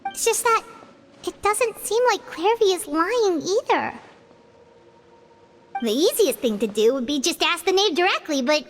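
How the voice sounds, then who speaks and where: A woman's voice: very high-pitched, very animated, measured pace, pauses often, faint music behind, exaggerated voice. A woman voicing a small, childlike, chatty fairy companion in a video game, chirpy and easily worried. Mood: uneasy. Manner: refers to self in third person, chirpy. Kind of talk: video game character dialogue.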